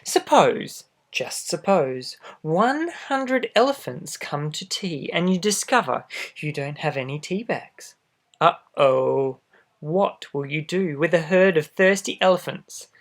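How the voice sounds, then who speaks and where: A man reads a picture book aloud to young children in a gentle, expressive way, adding little asides straight to the listener. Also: fairly high pitch, slow pace. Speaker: storybook reader for children.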